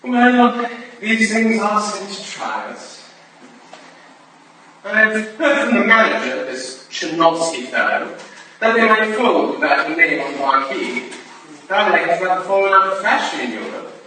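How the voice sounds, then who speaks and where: A man's voice: high-pitched, a little slow, pushed and bright. A man playing a suave, flirtatious lord who boasts of his ballet patronage, florid and urbane, in a staged period drama reading. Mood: mock heartbroken. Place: staged reading in a large echoey room. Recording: echoey hall from far off, faint noise.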